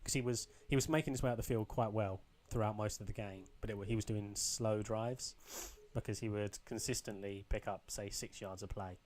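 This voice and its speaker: A young man with a slightly croaky voice, casually commentating his own football video game match, rambling with ums and restarts. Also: lowish voice, steady tone, a little fast, few pauses, a little mellow. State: a bit croaky. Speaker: gaming channel host.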